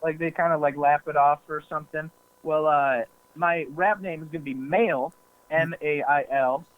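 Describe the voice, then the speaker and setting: A man's voice: a little high, fairly even intonation, moderate pace, slight background noise. Young man on a tinny phone line. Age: young adult. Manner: laughing easily, hesitant, filler-heavy. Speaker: podcast guest. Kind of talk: casual podcast chat.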